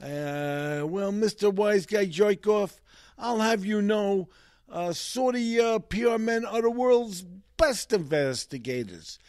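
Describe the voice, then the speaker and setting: A man plays a shifty official with a New York Italian-American mobster drawl, pitched fairly high and in no hurry at all. He dodges and bristles in street-tough talk, recorded dry and close for a comedy sketch. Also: expressive, slow pace, darkish tone, no echo. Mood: defensive. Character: shifty male Saudi PR chief with a mobster manner.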